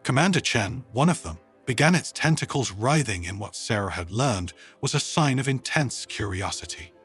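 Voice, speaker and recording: A man narrating a humorous science fiction story in an expressive, scripted storytelling style. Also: medium pitch, moderate pace, slightly rough, soft background music.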